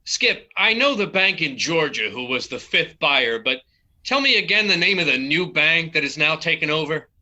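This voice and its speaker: A man's voice: a little high, lively intonation, moderate pace, bright, forceful tone, rough recording. A man reading the part of a stage musical character who talks business. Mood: questioning. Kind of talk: scripted musical table read.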